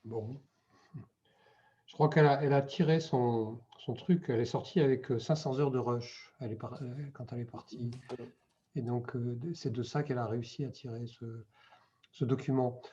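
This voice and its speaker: Mellow-voiced man moderating a discussion. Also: mid-range voice, fairly even intonation, moderate pace, lo-fi sound. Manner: casual, hesitant.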